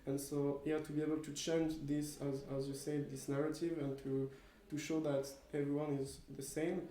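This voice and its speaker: A man's voice: on the high side, level tone, moderate pace, warm tone. A young man from France, a student volunteer giving casual, rambling, tentative answers in an interview. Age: young adult. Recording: roomy echo.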